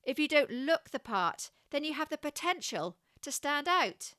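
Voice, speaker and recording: A woman with a West Country English accent, giving scripted coaching advice in a measured, encouraging way. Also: high-pitched, sing-song, bright, forceful tone, no room sound, clean studio sound. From England. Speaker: communication coach.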